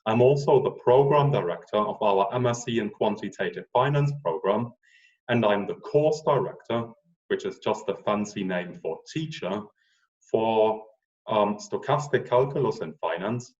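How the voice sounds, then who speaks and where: A man's voice: on the low side, moderate pace, recorded from a distance, lo-fi sound. A man in his forties with a German accent, a professor giving a casual online lecture with frequent ums. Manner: relaxed, chatty, with frequent ums.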